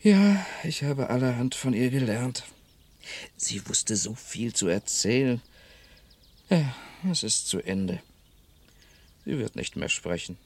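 A man's voice: medium pitch, sing-song, slow pace, pauses often, darkish tone, full character voice. An actor playing a talkative, gossipy elderly man in a radio drama, chatty and theatrical, quoting others vividly. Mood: chatty, amused. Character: talkative elderly Frenchman, gossipy, fond of late neighbour.

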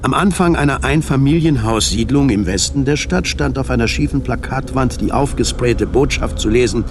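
A man's voice: mid-range voice, moderate pace, noisy background. A man reading scripted literary narration as the narrator of a radio drama. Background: music.

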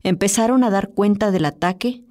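A woman's voice: medium pitch, normal speed, noisy background. A woman narrating a scripted personal essay as a radio presenter. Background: music.

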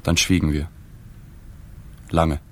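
A man's voice: extremely low pitch, little pitch movement, slow pace, a little mellow. An actor playing a melancholy journalist in a literary radio drama, telling his story in a sober, restrained way. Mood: sober narrating. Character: subdued, melancholy male journalist narrating his own story. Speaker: narrator.

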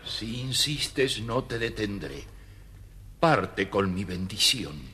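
Casting: ailing elderly king, low and slow, resigned, weak-voiced, fairy-tale drama with reverb. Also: animated, pushed and bright, exaggerated voice. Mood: weary, resigned. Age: older. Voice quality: weak, breathy. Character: frail sick old king, a resigned father.

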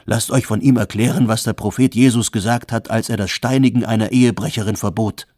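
A man's voice: fairly low pitch, steady tone, brisk, a little mellow, no echo, clean studio sound, close to an everyday voice. A man voicing a thundering stern imam. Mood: commanding. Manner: thundering, authoritative. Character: stern commanding male imam on horseback.

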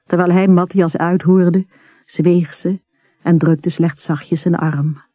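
Very dark, husky storyteller. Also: medium pitch, no echo. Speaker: narrator, story reader. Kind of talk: scripted literary story narration.

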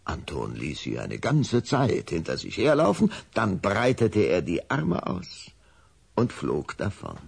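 A man's voice: low-pitched, huge pitch swings, takes it slowly, gravelly, breathy edge. A man narrating a children's radio play as a scripted storyteller.

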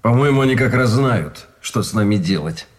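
Wry captured soldier played by a man, animated intonation. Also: medium pitch, normal speed, natural voice. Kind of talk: Russian radio drama acting.

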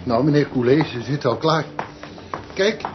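A man's voice: mid-range voice, expressive, unhurried, muffled recording, music in the background, close to an everyday voice. An actor playing a helpful, busy male concert hall attendant in a radio drama, polite and practical. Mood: obliging, brisk.

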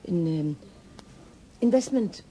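A middle-aged chief inspector in a radio drama. Her voice is slightly low and soft-edged. She is wry and mocking, teasing in an everyday, natural way. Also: animated, slow pace, slight background noise, poor audio. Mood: distracted, dry. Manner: dry, mocking, colloquial.

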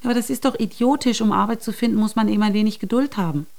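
A woman's voice: medium pitch, very fast, gravelly, a little mellow, lightly acted. An actress playing a middle-aged, nagging and worried mother in a naturalistic radio drama, reproachful and insistent. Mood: exasperated, insistent. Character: nagging, worried mother urging her grown daughter to work.

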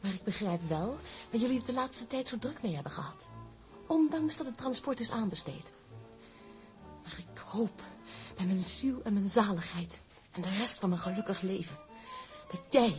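A woman's voice: mid-range voice, animated, normal speed, soft background music, natural voice. Intense lovestruck woman reporter, played by an actress. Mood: furious. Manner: emotional, intense. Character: passionate lovestruck female newspaper reporter. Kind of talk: Dutch broadcast drama acting.

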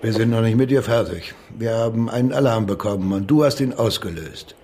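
An actor playing a bullying, sarcastic New York police officer in a German radio crime drama, commanding, mocking and crude. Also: male voice, lowish voice, fairly quick, warm tone, close to an everyday voice. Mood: threatening.

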